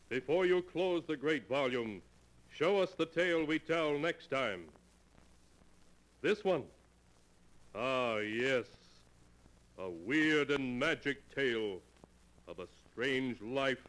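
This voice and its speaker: A man's voice: medium pitch, a bit bright. A man announcing a 1940s radio mystery show in a theatrical, portentous manner. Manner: portentous, deliberate phrasing, theatrical. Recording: noisy vintage 1940s radio broadcast.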